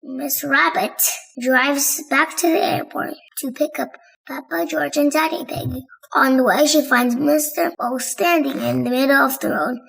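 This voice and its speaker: High-pitched woman reading to children, unhurried. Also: steady tone, a bit bright, low-quality recording. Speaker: storybook reader and host. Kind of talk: children's read-aloud narration. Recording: clear background noise.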